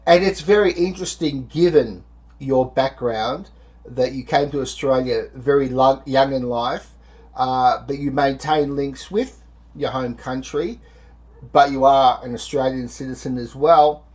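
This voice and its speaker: A man interviewing a filmmaker, asking long, formal questions in a deliberate, measured way. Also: medium pitch, darkish tone.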